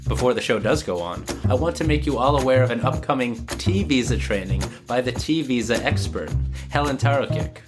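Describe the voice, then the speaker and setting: A man's voice: medium pitch, animated, fairly quick, music in the background. A man with a slightly nasal voice from a cold, hosting a legal podcast in scripted narration with casual asides. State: has a cold. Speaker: podcast host; lawyer.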